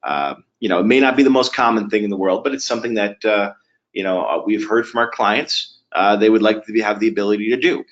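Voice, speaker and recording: A man with a fairly low voice hosts a software webinar. He explains each step conversationally, with hesitant pauses along the way. The audio is a bit dull and lo-fi. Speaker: webinar presenter.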